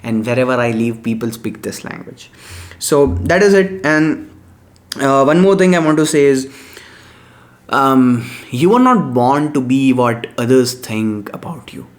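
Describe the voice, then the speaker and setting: A man's voice: medium pitch, a little slow. Earnest young man giving life advice. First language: Gujarati. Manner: earnest, preachy, repeats short punchy words. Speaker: self-help vlogger. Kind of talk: motivational monologue to camera.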